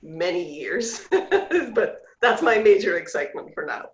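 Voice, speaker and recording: A woman's voice: mid-range voice, a little slow, slight background noise. A middle-aged woman with an Irish accent, a real estate agent talking chattily and loosely as a guest on a video call. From Ireland. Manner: chatty, relaxed, hesitant with fillers. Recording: roomy, dull video call audio.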